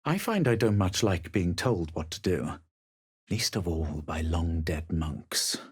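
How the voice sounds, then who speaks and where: An actor playing a haughty, sardonic male adventurer in a fantasy video game, speaking and narrating with a dry, measured, husky delivery. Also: sits low, expressive, no echo, full character voice.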